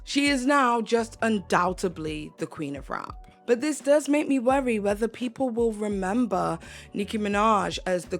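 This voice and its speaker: A young woman, hoarse, earnestly delivering an opinion commentary vlog and repeating phrases for emphasis. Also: fairly high pitch. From United Kingdom. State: hoarse, voice going. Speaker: commentary YouTuber.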